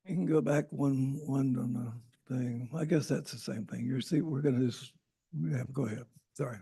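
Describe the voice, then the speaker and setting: Gravelly, husky man presenting at a meeting. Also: medium pitch, fairly even intonation, moderate pace, dark tone. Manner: rambling, informal, self-correcting. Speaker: transit agency presenter. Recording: Zoom meeting audio.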